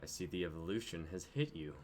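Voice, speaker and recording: A man's voice: low voice, lively intonation, fast, a little hiss or hum. A man voicing a coldly threatening, taunting shape-shifting alien in a live script reading.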